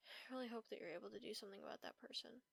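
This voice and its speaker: A woman playing a caring vampire roommate in a comfort audio roleplay, speaking softly, gently and reassuringly. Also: on the high side, rapid-fire, creaky, breathy edge, dry recording, heavily acted. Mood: sympathetic. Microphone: close, dry microphone.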